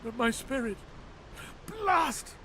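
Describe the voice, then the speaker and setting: Ancient skeleton knight, high voice, wildly varied pitch, noble, anguished, archaic theatrical delivery, fantasy game. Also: male voice, very animated, takes it slowly, background noise, heavily acted. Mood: pleading. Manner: theatrical, archaic, grandiose phrasing. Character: thousand-year-old undead skeleton knight, anguished, pleading, noble. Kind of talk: dramatic fantasy game voice acting.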